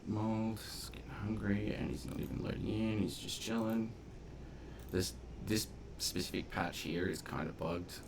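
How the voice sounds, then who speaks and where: A man's voice: on the low side, measured pace, creaky, background noise. A man giving casual, playful gameplay commentary, half muttering his reactions to himself. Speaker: game streamer and commentator.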